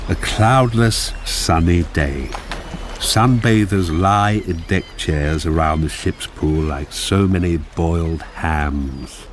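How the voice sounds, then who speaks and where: A man reading scripted narration for a radio drama in a measured, descriptive manner. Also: sits low, a little hiss or hum. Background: music.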